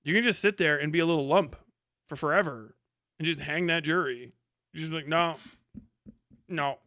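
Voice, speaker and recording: A man with a forceful, bright voice pitched a bit high talks off the cuff on a livestream. He swears freely, leans on slang and sarcasm, and animatedly acts out what imagined people would say. The sound is dry and close. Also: normal speed, dry recording. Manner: profane, slangy. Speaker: live streamer. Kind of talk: casual livestream commentary reacting to chat.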